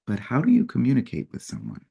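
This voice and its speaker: A man speaking in an unhurried, reflective way as he tells a personal story, now and then acting out what people said. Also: medium pitch, vocal fry, breathy edge. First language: English. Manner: unhurried, reflective storytelling with lively acted-out quotes. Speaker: personal development facilitator.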